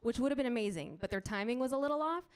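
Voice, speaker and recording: A young woman from Colorado doing a stand-up set on a club stage, wry and punchy, with quick asides to the crowd. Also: on the high side, expressive, clear, resonant tone. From United States (Colorado). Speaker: stand-up comedian.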